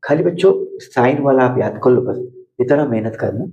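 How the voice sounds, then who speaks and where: A hoarse man teaching math to school students at a board, repeating steps and asking questions that he answers himself. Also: medium pitch, expressive, normal speed, dull audio. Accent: Hindi.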